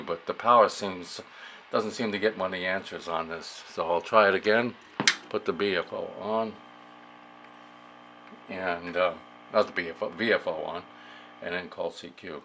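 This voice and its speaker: A man speaks in a lowish voice with some noise behind him. He gives an informal hands-on demonstration like a hobby radio operator. His delivery is halting, often muttered to himself as he works. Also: fairly bright tone. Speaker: amateur radio operator demonstrating vintage gear. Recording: clearly noisy room recording.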